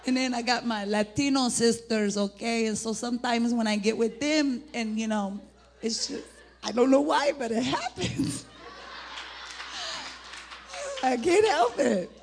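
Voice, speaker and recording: A middle-aged woman with an American accent and a husky, gravelly voice, preaching an informal, rambling sermon in an animated, folksy way. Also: a little high, takes it slowly, pauses often. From United States (Indianapolis, Indiana). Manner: animated, rambling, folksy, breaking into laughter and exclamations. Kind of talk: informal sermon with personal testimony.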